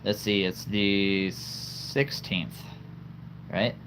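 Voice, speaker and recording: A man's voice: fairly low pitch, fairly even intonation, drawn-out words, background noise. A man hosting a livestream in casual chat, laughing often and stammering over repeated words. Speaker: livestream host.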